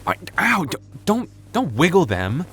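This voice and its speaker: A man's voice: on the high side, wildly swooping pitch, unhurried, a bit bright, heavily acted. A man acting a flustered young vampire store clerk in an audio roleplay, stammering nervously and awkwardly. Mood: panicked pleading. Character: flustered young male vampire working convenience store night shift. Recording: faint background noise.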